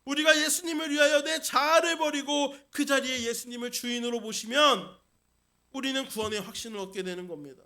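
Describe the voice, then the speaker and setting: Very high-voiced, bright man preaching. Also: brisk. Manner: asks the congregation questions, emphatic repetition. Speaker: senior pastor. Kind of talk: Sunday sermon. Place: church service.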